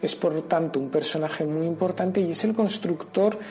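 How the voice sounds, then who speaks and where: A man's voice: on the high side, moderate pace, dark tone. A man with an accent from Spain giving a didactic, explanatory radio talk on history. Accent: Spanish from Spain. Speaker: local heritage presenter.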